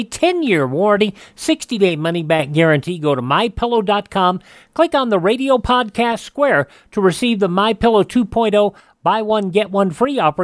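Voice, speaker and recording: High-pitched male radio host, animated delivery. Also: no echo, clean studio sound. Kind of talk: scripted radio announcing and ad read.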